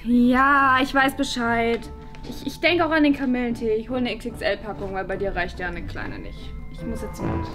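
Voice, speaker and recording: A woman's voice: fairly high pitch, normal speed, close to an everyday voice. A young woman playing a sulky, exasperated teenage daughter in a comedy sketch. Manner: huffy, exasperated. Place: indoor office kitchen and lounge set. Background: music.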